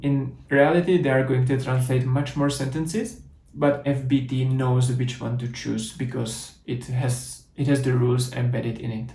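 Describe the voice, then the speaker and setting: A man with a Czech accent talks through a coding demo at a conference, methodical and explanatory, circling back to restate points. His mid-range voice has a gravelly, creaky texture. The sound is roomy, with noticeable echo around him. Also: mid-range voice, flat delivery, moderate pace, hardly any pauses, warm tone. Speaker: conference speaker. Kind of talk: technical coding talk with live demo.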